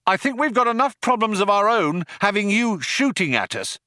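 A man voicing an exasperated, sarcastic male traveller shouting back at police, in an audiobook. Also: sits high, big pitch swings, moderate pace, no echo, clean studio sound, pitched above the performer's own voice, heavily acted. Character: exasperated male hitchhiker in a firefight.

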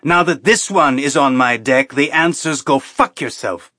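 A man voicing an angry, stubborn, profane spaceship captain in an audiobook, gruff and blunt in manner. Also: mid-range voice, measured pace, sharp tone, dry recording, studio quality, voice pushed up. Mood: angry, defiant. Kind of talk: audiobook character acting.

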